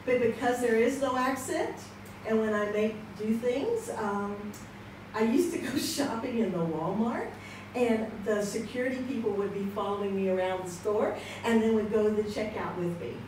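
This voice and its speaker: A woman's voice: a little high, moderate pace, darkish tone. A woman in her sixties with an American accent, telling personal stories to a conference audience on a handheld mic, chatty and laughing often. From United States. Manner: chatty, laughs often, acts out quoted exchanges. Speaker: conference speaker. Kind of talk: personal testimony, storytelling. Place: church hall with audience. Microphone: handheld mic, seated, filmed from across the room. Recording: echoey hall from far off with background noise.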